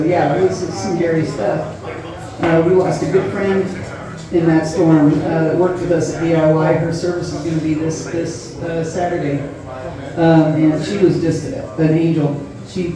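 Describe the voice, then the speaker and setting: Middle-aged female bar comedian, folksy rambler, distant mic in a very echoey room with audience noise. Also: lowish voice. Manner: rambling, folksy, self-deprecating. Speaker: stand-up comedian and event host. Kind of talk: casual stand-up comedy set. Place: live in a bar and grill.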